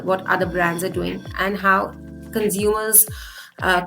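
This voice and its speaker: A woman's voice: medium pitch, creaky, a bit bright. A woman in her forties, originally from India, answering interview questions on marketing thoughtfully, with frequent fillers. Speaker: marketing guest.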